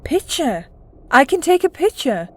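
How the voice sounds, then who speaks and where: Woman acting anxious female alien rebel, earnest, emotional, somewhat high voice, audio drama, faint background noise. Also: sing-song, a little slow. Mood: distressed.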